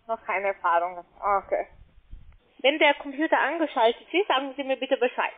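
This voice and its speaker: High-pitched woman on the phone. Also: animated, a little fast. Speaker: call centre sales agent. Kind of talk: unscripted sales phone call. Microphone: telephone. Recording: muffled tinny phone line.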